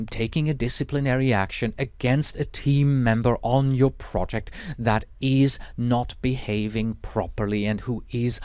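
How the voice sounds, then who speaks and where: A man whose first language is Swiss German, teaching a lecture in a measured, explanatory way with Swiss German accent. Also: medium pitch, a little mellow, dry recording. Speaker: project management instructor.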